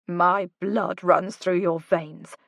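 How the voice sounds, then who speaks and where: Cold domineering father, voiced by a woman narrator, slow and grim. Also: on the low side, steady tone, gravelly, a bit bright, dry recording, heavily acted. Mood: menacing. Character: stern older man, domineering father.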